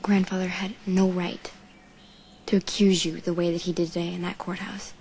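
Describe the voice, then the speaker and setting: An actress playing an earnest young woman from a wealthy family, speaking in a soft, often whispery and reassuring way. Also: lowish voice, moderate pace, lightly acted. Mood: earnest tender. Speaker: actor in a daytime soap. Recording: TV soap audio with steady background noise.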